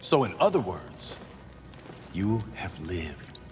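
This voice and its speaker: Wry human refugee, very animated. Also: male voice, low voice, takes it slowly, slight background noise, exaggerated voice. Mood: encouraging, hopeful. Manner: wry, plain-spoken, earnest. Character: world-weary human refugee man, wry and hopeful. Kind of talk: dramatic video game cutscene acting. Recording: hall reverb with faint game music.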